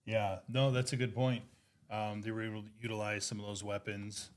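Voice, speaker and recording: American man, fairly low voice, agreeable co-host. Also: little pitch movement, brisk. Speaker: podcast co-host and financial advisor. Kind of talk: casual chat.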